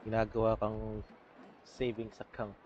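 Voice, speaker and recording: Very low-voiced woman game streamer. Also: completely flat delivery, normal speed, muffled recording, a loud crowd. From Philippines. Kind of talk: casual game stream reaction.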